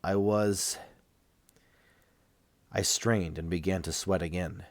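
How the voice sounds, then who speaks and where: A man getting over a cold reads a first-person novel aloud, measured, with dramatic pauses. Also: low voice, steady tone, no room sound. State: recovering from a cold. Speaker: amateur audiobook reader.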